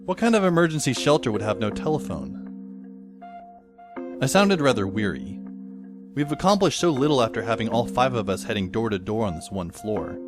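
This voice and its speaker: A man's voice: medium pitch, big pitch swings, normal speed, close to an everyday voice. A man playing a wry, weary teenage schoolboy who narrates an audio drama in a dry, sardonic way. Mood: weary, uneasy. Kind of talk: first-person scripted audio drama narration. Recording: music under the voice.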